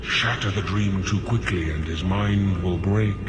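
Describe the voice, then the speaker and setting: A languid demon of sloth with a low, slow drawl, smug and sly. The game recording has loud noise behind the voice. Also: male voice, steady tone. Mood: smooth persuasion. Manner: slow, drawling, smugly unhurried. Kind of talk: video game cutscene acting. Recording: very noisy game capture with background ambience.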